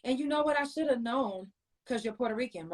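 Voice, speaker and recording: A woman hosts a relaxed chat podcast with a fairly high-pitched voice. She talks casually, with slang and plenty of affirming replies to her guest. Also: a little fast, a crowd in the background. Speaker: podcast host.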